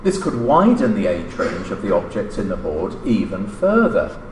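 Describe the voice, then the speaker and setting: Middle-aged male academic, formal and deliberate, with lively intonation, heard in a reverberant lecture room. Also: low-pitched. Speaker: academic lecturer reading a paper. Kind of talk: formal scripted lecture, read aloud. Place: indoor lecture room at a lectern. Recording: roomy lecture-hall echo, background noise.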